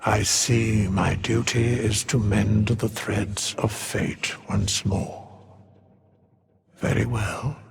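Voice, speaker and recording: An actor voicing an ancient, solemn undead skeleton in a video game, speaking cryptically in archaic, formal phrases with a raspy, whispery voice. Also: male voice, on the low side, fairly even intonation, full character voice. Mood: grave, detached. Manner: archaic, formal, deliberate speech. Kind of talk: video game character dialogue.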